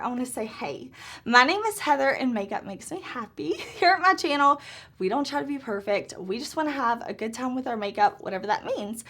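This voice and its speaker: Brief: woman, thirties, slightly hoarse, bubbly beauty-vlogger chatter, voice pitched a bit high. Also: pushed and bright. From Southern United States. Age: 30s. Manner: chatty and upbeat, laughs often. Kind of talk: casual get-ready-with-me makeup chat.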